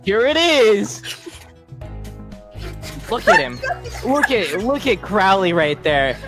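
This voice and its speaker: A man's voice: sits high, big pitch swings, slow pace. Mock-outraged laughing man over music. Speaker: streamer giving a joke slideshow talk. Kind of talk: casual joking group stream. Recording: music playing behind.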